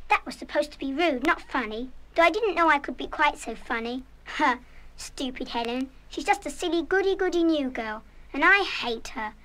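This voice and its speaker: A woman's voice: high-pitched, animated, moderate pace, fairly bright tone. An actress playing a naughty, defiant, spoiled girl of about eleven in a children's radio play, petulant and emphatic. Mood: sulky. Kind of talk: full-cast children's radio play acting.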